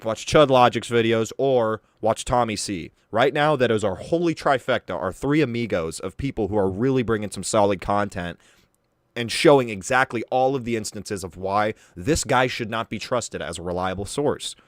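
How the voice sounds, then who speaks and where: Deep-voiced young man mocking bluntly. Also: normal speed, dry recording, studio quality. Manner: crude, mocking, swears a lot. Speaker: commentary streamer. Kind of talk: casual drama commentary.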